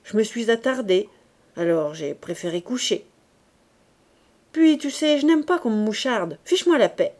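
A woman's voice: fairly high pitch, animated, moderate pace, pauses often, close to an everyday voice. A woman reading as a surly, snapping young man. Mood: dismissive. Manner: snappish, loud, dismissive. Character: young man, irritable, brusque surly partner.

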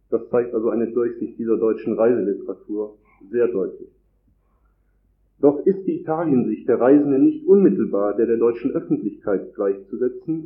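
Man, has a cold, formal academic lecture, measured reading, echoey hall, tinny phone-like sound. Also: medium pitch. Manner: measured, formal reading with long complex sentences. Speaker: conference lecturer. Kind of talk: academic lecture read from a script. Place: conference hall.

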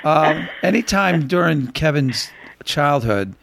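A man's voice: fairly high pitch, animated, slow, gravelly, a bit bright. A man co-hosting a casual podcast, joking and tripping over his words. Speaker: podcast co-host.

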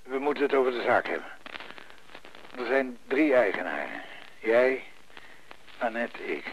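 Casting: agitated, troubled business partner, blunt and halting, naturalistic radio drama, light hiss and clicks. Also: male voice, medium pitch, sing-song, low-quality recording. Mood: urgent. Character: Johan, desperate, bullheaded business partner and troubled husband. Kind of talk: naturalistic Dutch radio play acting.

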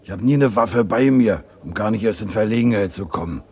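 A man acting a wary, streetwise small-time burglar in a German radio crime drama, laconic and slangy, with a gravelly, raspy voice. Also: on the low side, brisk, a little mellow.

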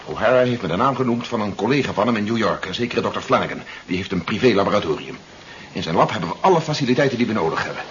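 A man's voice: on the low side, lively intonation, racing, fairly bright tone. A man playing a resolute, authoritative resistance leader in a 1970s radio drama. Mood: grave, matter-of-fact. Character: resolute, commanding male leader of a resistance war. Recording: muffled 1970s radio recording, noisy.

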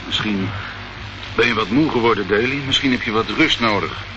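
Man acting a suave low-voiced crime boss, muffled recording. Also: normal speed, music in the background, natural voice. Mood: veiled threat. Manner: polite veiled threats, mock admiration. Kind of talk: radio play acting. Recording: muffled, noisy old radio recording.

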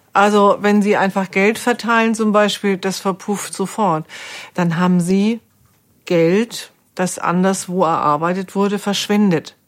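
A woman speaking as a foundation executive in a considered interview, earnest, measured and articulate. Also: medium pitch.

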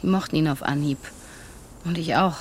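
A woman's voice: low voice, normal speed, a little hiss or hum, lightly acted. A woman playing a grieving girlfriend who remembers her lost partner in a subdued, reflective way, in a radio drama. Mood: wistful. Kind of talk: naturalistic radio drama acting.

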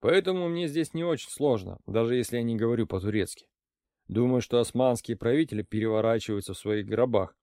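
A man reading an audiobook, voicing a shrewd, calm older intelligence officer who speaks in a dry, ironic, lecturing way. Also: medium pitch, brisk, no echo, lightly acted. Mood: wry.

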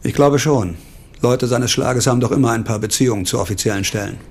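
An actor playing a bitter, sardonic, broke ex-doctor in a radio crime drama, blunt and world-weary. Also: male voice, medium pitch, fast talker, slight background noise, natural voice.

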